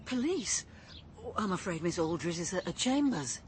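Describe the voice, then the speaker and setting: Nervous housekeeper. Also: female voice, fairly low pitch, sing-song, moderate pace. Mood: anxious. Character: nervous, deferential female housekeeper. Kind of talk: radio crime drama acting.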